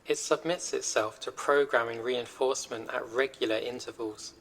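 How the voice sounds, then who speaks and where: A man voicing an impassive corporate AI in an audio roleplay, reciting directives in a formal, measured, impersonal way. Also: medium pitch, steady tone, a bit bright, low-quality recording. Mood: impassive, instructive. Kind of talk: scripted audio roleplay announcement.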